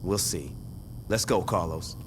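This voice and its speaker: A man's voice: deep voice, unhurried, noisy background. A man playing a tough, sardonic street gang leader in a crime video game. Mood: cold, sardonic.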